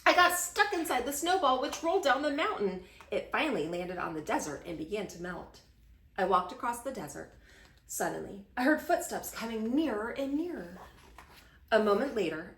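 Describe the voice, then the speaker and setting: Storybook grandpa played by a woman reader, dramatic tall-tale teller, highly varied pitch, roomy sound. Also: big pitch swings, moderate pace, a bit bright, a little hiss or hum. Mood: dramatic storytelling. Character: unexcitable old grandpa spinning tall adventure tales. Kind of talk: children's picture book read-aloud.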